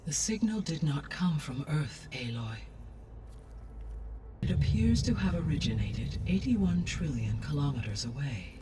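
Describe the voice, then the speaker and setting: An actor playing a calm, formal artificial intelligence in a video game, speaking in measured, precise phrases. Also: male voice, medium pitch, recorded from a distance, a little hiss or hum, close to an everyday voice. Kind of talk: scripted game cutscene dialogue. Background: music.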